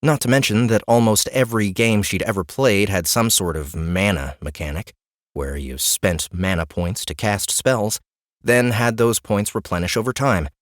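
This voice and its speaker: A man narrating a fantasy audiobook in a wry, expressive storytelling manner. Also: lowish voice, normal speed, no echo, studio quality.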